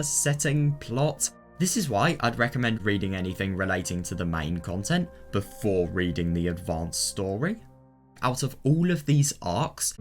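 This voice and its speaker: Low-pitched man with lively intonation. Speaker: presenter of a reading guide. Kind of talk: scripted explainer narration with personal opinions.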